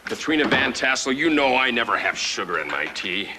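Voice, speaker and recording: An actor playing a swaggering young village suitor, boastful and teasing, in a television period comedy. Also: male voice, medium pitch, big pitch swings, fairly quick, background noise, close to an everyday voice. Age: young adult. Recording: muffled VHS under loud music.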